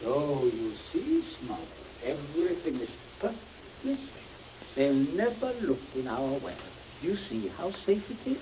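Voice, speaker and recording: A man's voice: on the high side, sing-song, unhurried, crowd noise. An actor playing a middle-aged father of the house, breezy and falsely reassuring, in an old radio drama. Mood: smooth. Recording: muffled old radio recording with hiss.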